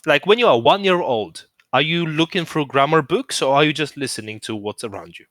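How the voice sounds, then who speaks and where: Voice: mid-range voice, expressive, a little fast, a bit bright, no echo, studio quality. A podcast co-host chatting casually about languages, opinionated and laughing easily. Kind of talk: casual chat.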